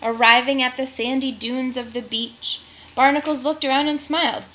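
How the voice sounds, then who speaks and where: A woman's voice: high-pitched, steady tone, moderate pace, pushed and bright. A woman reading a storybook aloud expressively for young children, engaging the listeners as a host. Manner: gasps and questions addressed to young listeners.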